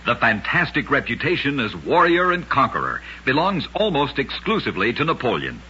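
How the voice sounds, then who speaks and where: Bright, husky male vintage radio storyteller. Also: mid-range voice, very animated, fairly quick. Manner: dramatic storytelling delivery. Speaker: radio narrator. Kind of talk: scripted 1940s-style radio narration of oddities. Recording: dull lo-fi vintage radio recording.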